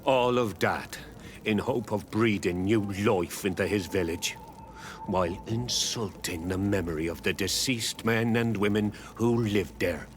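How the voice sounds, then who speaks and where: Actor as a slow, low-voiced proud village chief. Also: male voice, natural voice. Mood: disdainful, hostile. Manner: gruff, slow, deliberate, disdainful. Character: proud, hostile island village chief, distrustful of outsiders. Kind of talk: video game dialogue acting. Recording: clear ambient noise behind the voice.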